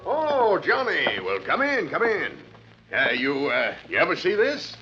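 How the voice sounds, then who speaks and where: An actor playing a folksy, chummy insurance office manager in a 1950s radio drama, chatty and a bit hesitant. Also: male voice, fairly high pitch, wildly swooping pitch, a little slow, sharp tone, faint music behind, heavily acted. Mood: chummy, boastful. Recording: dull, faintly noisy vintage radio recording.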